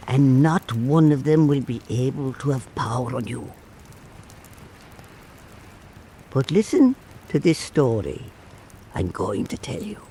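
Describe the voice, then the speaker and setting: A man playing an old island storyteller, telling a folk tale in a measured, earnest, dramatic way for a radio drama. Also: mid-range voice, darkish tone, slight background noise, lightly acted. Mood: confiding.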